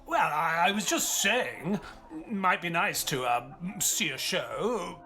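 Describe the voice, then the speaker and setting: An actor playing a good-natured, bumbling doctor sidekick who talks in a hesitant, stammering, jolly way. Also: male voice, on the high side, sing-song, slow pace, bright, forceful tone, full character voice. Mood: jovial. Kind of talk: audio drama acting. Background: faint piano music.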